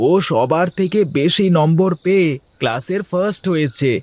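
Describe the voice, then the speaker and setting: A man's voice: fairly high pitch, normal speed, darkish tone, dry recording, close to an everyday voice. A man voicing a humble, grateful and eager younger employee in a cartoon. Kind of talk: Bengali cartoon dialogue.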